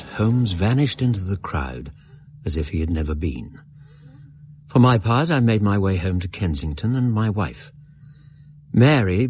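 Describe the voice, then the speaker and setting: Reflective English gentleman storyteller, very low voice, animated intonation, literary radio drama narration, measured delivery. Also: slightly rough, dry recording, heavily acted.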